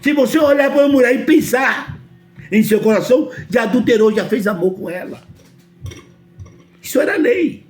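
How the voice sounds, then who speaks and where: Emphatic Brazilian preacher man, lively pitch. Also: normal speed, pauses often, faint music behind. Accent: Brazilian Portuguese. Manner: emphatic, repeatedly asks listeners if they understand. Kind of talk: sermon.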